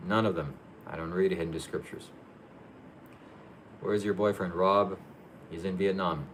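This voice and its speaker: Man, livestream host, somewhat deep voice, reads questions aloud, short plain answers, low-key. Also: fairly even intonation, a little slow, fairly bright tone, a little hiss or hum. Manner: matter-of-fact, low-key. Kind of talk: casual live Q&A.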